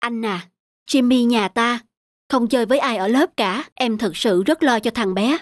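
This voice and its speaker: Gentle cartoon mother, acted by a woman. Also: on the high side, moderate pace, dry recording, clean studio sound, natural voice. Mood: concerned. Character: gentle, caring mother of a young boy. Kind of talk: dubbed cartoon acting.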